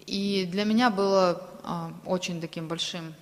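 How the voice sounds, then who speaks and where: A woman's voice: on the low side, steady tone, measured pace. Woman interpreting a sermon in a reverberant hall. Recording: long reverberant hall sound.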